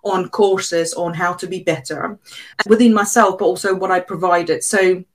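A woman's voice: on the low side, steady tone, moderate pace. A woman from South Africa whose first language is not English, a cleaning business coach giving candid, direct answers in an interview.

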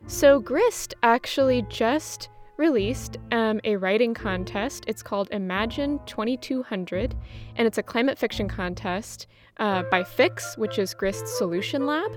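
A woman's voice: fairly high pitch, animated, measured pace, soft background music. A woman co-hosting a podcast in a casual, conversational style. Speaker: podcast host.

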